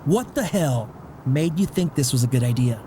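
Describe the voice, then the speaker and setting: A man's voice: medium pitch, expressive, normal speed, background noise, lightly acted. A man playing a blunt, nosy but caring father in a comic dub, probing and scolding. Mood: exasperated. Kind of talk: amateur comic dub voice acting.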